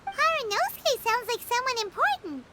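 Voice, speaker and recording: Squeaky, swooping little fairy girl. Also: sing-song, normal speed, heavy background noise. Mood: curious, chatty. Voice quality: squeaky, childlike. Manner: blunt, exclaiming, talks in third person. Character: tiny childlike fairy companion, cheeky, excitable, refers to herself by name. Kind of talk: video game cutscene acting.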